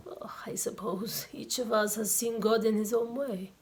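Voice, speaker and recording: A woman playing a kindly, devout, blind old man in a radio play, reciting and teaching in a measured, gentle way. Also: on the high side, fairly even intonation, slightly rough. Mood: calm, kindly.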